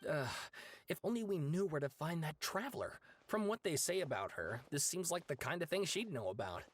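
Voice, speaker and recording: A man's voice: fairly high pitch, big pitch swings, brisk, a little hiss or hum. An actor playing a chatty, exasperated young male researcher in a video game, casual and wry in manner. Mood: frustrated. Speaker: scholar character in a video game.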